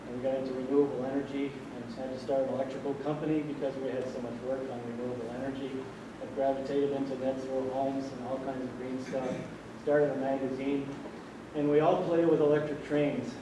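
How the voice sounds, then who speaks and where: Man in his sixties, soft-spoken, rambling with fillers; distant mic in a very echoey hall. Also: mid-range voice, flat delivery, a little fast, noisy background. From Vermont. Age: 60s. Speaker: audience member at a panel. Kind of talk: public comment at a panel discussion. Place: large hall. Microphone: distant room mic.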